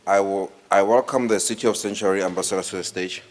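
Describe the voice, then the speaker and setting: Deep-voiced male host introducing speakers, echoing hall sound. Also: fairly even intonation, fast talker, very noisy. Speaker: event host. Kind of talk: formal compering. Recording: long hall reverb.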